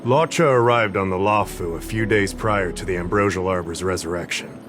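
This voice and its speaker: An actor playing an affable, easygoing investigative official in a video game, conversational and explanatory. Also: male voice, low voice, moderate pace, over music, natural voice. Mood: explaining. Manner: conversational, slightly absent-minded, explanatory. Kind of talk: video game character acting.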